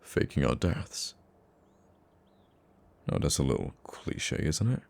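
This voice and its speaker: A man playing a gruffly caring, teasing supervillain who comforts a nemesis in an intimate ASMR roleplay, with a deep, gravelly voice. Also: very animated, full character voice. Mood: reflective, tender. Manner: soft-hearted, teasing, halting when flustered.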